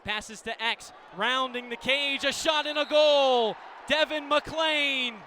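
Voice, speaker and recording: A very high-pitched American man calls a game live on radio. His tone is bright and forceful, his phrasing clipped and descriptive. Faint sports venue ambience sits behind the voice. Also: slow, a faint crowd, slight background noise. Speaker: play-by-play radio commentator. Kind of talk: live lacrosse radio commentary.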